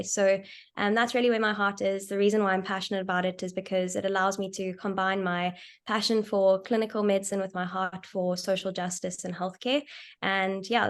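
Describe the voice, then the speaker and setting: Earnest young South African woman, even-toned. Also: mid-range voice. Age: young adult. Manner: earnest, steady, with frequent ums. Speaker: panelist, medical doctor. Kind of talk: panel discussion.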